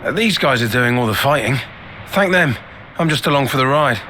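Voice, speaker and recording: A man's voice: mid-range voice, expressive, brisk, pushed and bright, a little hiss or hum. A man playing an easygoing, modest soldier in a video game cutscene, with a casual, breezy delivery. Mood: reassuring. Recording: music behind the voice.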